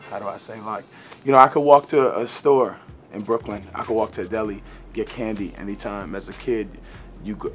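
A young man talking casually in an interview, laid-back, swearing freely and filling gaps with "uh" and "you know". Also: lowish voice. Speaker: rapper guest. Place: studio, green-screen set.

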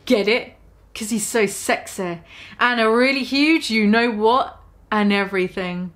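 A woman's voice: mid-range voice, unhurried, fairly bright tone. A woman in her twenties giving a theatrical, mocking dramatic reading of fan fiction in a small room.

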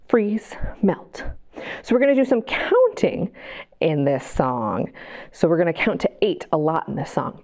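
A woman librarian leading a children's storytime, reading picture books aloud and talking encouragingly to the kids at home through her camera. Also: mid-range voice. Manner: bright, encouraging, talks to kids. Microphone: camera mic.

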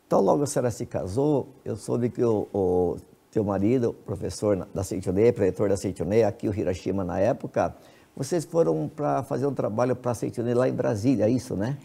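A man's voice: lowish voice, fairly quick, gravelly, warm tone, slightly rough. A man in his sixties with a Brazilian Portuguese accent, interviewing a guest conversationally as the host. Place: indoor TV-style studio. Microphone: lapel.

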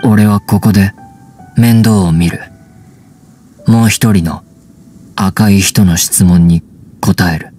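An actor playing a terse, wild young man raised by wolves, in halting, blunt short phrases for an anime game. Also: on the low side, fairly even intonation, warm tone, faint music behind. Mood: guarded, flat.

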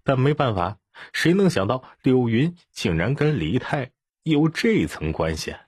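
A man's voice: medium pitch, big pitch swings, unhurried, husky, no echo, clean studio sound. A man reading an audiobook as narrator in a scripted, dramatic storytelling style.

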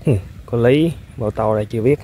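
A man giving excited, casual running commentary to viewers while fishing outdoors on a muddy riverbank. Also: mid-range voice, fast talker, a little hiss or hum. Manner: excited exclamations, talking to viewers. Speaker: fishing vlogger.

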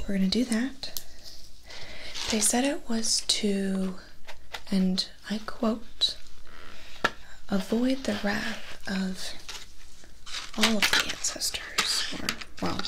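A young woman playing a weary, grumbling vampire's assistant in a hushed ASMR roleplay, muttering softly as she goes. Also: medium pitch, low-quality recording. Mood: hushed, hesitant. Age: young adult. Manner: hushed, slow, muttering asides. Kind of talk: ASMR roleplay, soft-spoken and whispered. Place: small dark indoor set with cloth backdrop.

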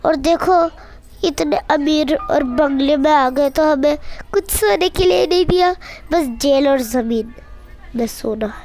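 A young girl with a very high voice chats casually over a video game. She talks slowly and playfully, as a player commenting on her own game. Music plays behind her. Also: a little mellow. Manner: chatty, playful, breaks into nonsense sounds and singing. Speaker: gamer commentating on own play.

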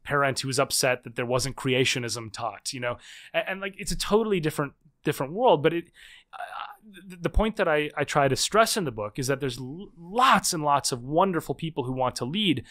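A man in his thirties, a guest author in a conversational interview, explaining in quick, animated bursts with frequent restarts. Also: on the high side. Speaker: guest, political scientist and author.

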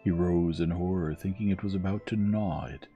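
Deep-voiced man gently reading a bedtime story. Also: steady tone, lo-fi sound. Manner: soft, measured, soothing reading. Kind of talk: gentle scripted storybook narration. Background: music.

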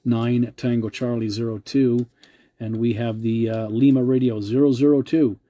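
A man's voice: mid-range voice, level tone, normal speed, mellow. A man making a CB radio contact, polite and using radio procedure words. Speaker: CB radio operator.

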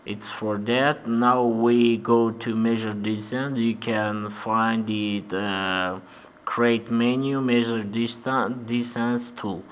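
A man from Armenia with an Armenian accent, guiding a software tutorial in halting, simple English with many "uh-huh" fillers. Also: mid-range voice, clean tone, low-quality recording. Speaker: 3D animation tutor. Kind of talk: screen-recorded software tutorial.

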